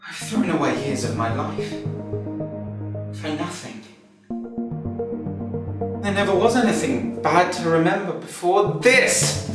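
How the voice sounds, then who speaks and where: A man's voice: on the high side, animated, slow pace, long pauses. Man acting an anguished captive, echoey and distant. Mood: desperate, pleading. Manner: hesitant, pleading. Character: anguished, confused male captive with no memory. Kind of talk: one-act stage drama acting. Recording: very echoey, distant, loud music behind.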